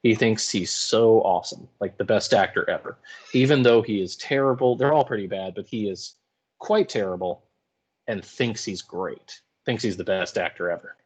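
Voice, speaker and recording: A man's voice: lowish voice, moderate pace, breathy edge. A man teaching English, giving informal, colloquial explanatory commentary in a casual lecture style. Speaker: high school English teacher.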